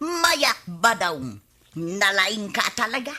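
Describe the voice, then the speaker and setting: A woman's voice: medium pitch, sing-song, slow, sharp tone, a little hiss or hum, exaggerated voice. A woman playing a nosy, scheming village gossip in an Ilocano radio comedy drama, with an Ilocano accent and a teasing, needling delivery. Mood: sly gossiping.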